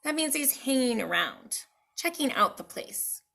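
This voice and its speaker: A woman's voice: high voice, very animated, a little slow, creaky, bright, forceful tone. A woman reading a children's story aloud with dramatic expression, speaking directly to young listeners.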